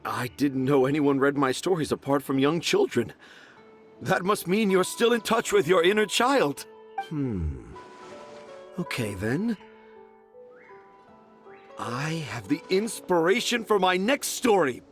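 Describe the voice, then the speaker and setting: Give this game character a lighter-pitched, very animated voice: a dreamy, self-important storyteller who declaims in flowery poetry. Music plays clearly behind him. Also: male voice, a little slow, long pauses. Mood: pleased, grand. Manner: theatrical, flowery, poetic. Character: flamboyant, dreamy, self-important male children's storyteller and father. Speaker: children's author character. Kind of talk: video game character acting.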